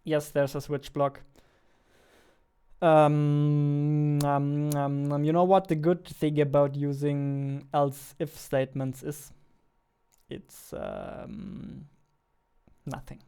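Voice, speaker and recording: A man, chatting casually on a livestream while he works, thinking aloud in a halting way. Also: on the high side, lively intonation, gravelly, dark tone, clean tone. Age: 20s. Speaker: game developer and streamer. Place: indoor room at a computer, keyboard clicks behind.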